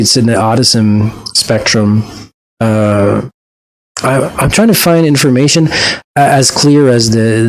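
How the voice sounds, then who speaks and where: A man with a French accent hosts a reaction video in a slow, thoughtful monologue. He speaks in a somewhat low voice and pauses often with fillers and restarts. His delivery stays casual and reflective. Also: low-quality recording. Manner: hesitant, many fillers and restarts.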